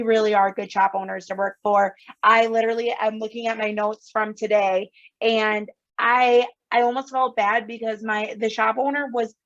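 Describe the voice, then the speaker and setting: Guest, woman, Upper Midwest accent, emphatic and earnest, lo-fi podcast audio. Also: on the high side. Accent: Upper Midwest American. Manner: earnest, emphatic, repeats for stress. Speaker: guest, sales director. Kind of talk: casual podcast chat. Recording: slightly dull lo-fi sound.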